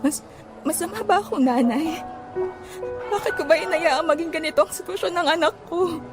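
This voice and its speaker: A woman with a Filipino accent playing an anguished, pleading mother in an audio drama, halting with emotion. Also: extremely high pitch, over music. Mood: guilty, despairing. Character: anguished young mother, overseas worker searching for her missing child.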